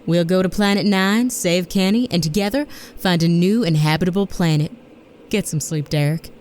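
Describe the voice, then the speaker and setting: A woman's voice: on the low side, darkish tone, lightly acted. Sardonic space-mission leader, played by a woman. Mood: determined. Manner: sardonic, brisk. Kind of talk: audio drama acting. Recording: noisy background ambience.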